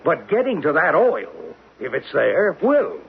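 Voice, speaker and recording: Blunt, animated oil company boss. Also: male voice, a little high, unhurried, creaky, heavily acted. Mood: defiant. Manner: blunt, insistent. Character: hard-nosed, impatient oil company boss, male. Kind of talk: 1970s radio drama acting. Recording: tinny, noisy old radio broadcast.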